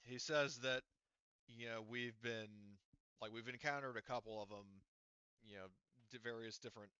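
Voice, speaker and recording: A man guides players through a tabletop game in loose, halting speech full of restarts. His voice is bright and smooth, recorded dry and up close on somewhat dull, lo-fi audio. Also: mid-range voice, fairly even intonation, dry recording. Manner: halting, many restarts and fillers. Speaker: game master. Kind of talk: casual tabletop game narration.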